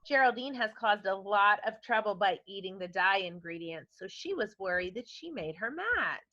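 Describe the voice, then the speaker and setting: A woman's voice: medium pitch, expressive, normal speed, bright, forceful tone. A woman teacher putting comprehension questions to young children and explaining the answers directly to them. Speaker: elementary teacher. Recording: dull lo-fi recording.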